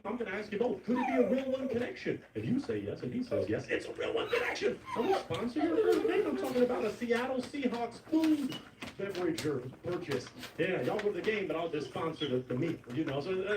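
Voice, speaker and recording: A man hosting a dating show in a lively, playful way. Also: fast. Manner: animated, playful, big pitch swings. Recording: roomy, distant mic with noise.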